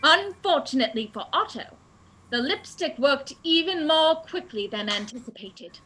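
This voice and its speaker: A woman's voice: sits high, animated, normal speed, very noisy. A woman playing a melodramatic detective in a comedic radio play, declaiming her deductions theatrically. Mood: grandly explaining. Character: melodramatic woman detective, triumphant and dutiful daughter.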